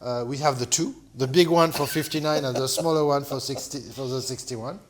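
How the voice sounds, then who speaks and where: A man from France, a champagne producer telling stories in a rambling, animated way, full of restarts and "you know". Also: mid-range voice, brisk. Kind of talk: storytelling in casual conversation.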